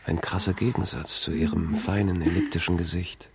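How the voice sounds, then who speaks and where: An actor playing a brooding, haunted man in a radio drama, speaking in a measured, subdued way. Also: sits low, steady tone, gravelly, slightly rough, exaggerated voice. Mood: brooding, reflective. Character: brooding man haunted by fragmentary childhood memories. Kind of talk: introspective radio drama monologue and dialogue.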